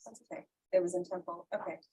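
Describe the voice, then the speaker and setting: A woman's voice: on the low side, steady tone, quick pace, mellow, slightly rough, rough recording. An American woman, an attorney asking questions informally in a courtroom. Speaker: attorney cross-examining.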